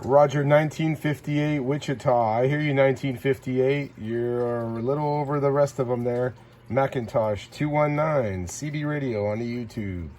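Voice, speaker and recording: A man's voice: medium pitch, normal speed. A man working as a CB radio operator, making clipped call-outs and casual, slangy commentary. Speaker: CB radio operator and video host. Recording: heavy static and radio noise. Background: CB radio hiss and static.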